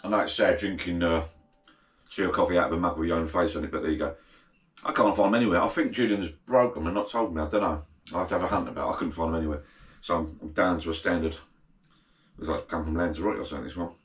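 A middle-aged man, a bit croaky after a night of drinks, rambling casually and self-deprecatingly to camera from his garden bar shed. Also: sits low, quick pace, roomy sound. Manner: chatty, self-deprecating, meandering. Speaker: vlogger.

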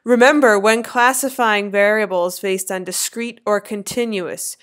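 A woman in her thirties narrating a slideshow lecture as an instructor, with clear, lively teacherly emphasis. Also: medium pitch, unhurried. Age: 30s.

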